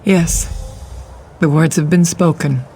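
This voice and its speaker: A woman's voice: sits low, fairly even intonation, warm tone, breathy edge, heavily acted. A solemn tribeswoman storyteller. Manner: measured storytelling tone. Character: solemn young woman of a desert tribe. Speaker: game character.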